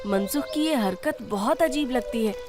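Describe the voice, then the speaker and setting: A woman's voice: fairly high pitch, lively intonation, normal speed. A woman narrating a scripted moral story for children. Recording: music behind the voice.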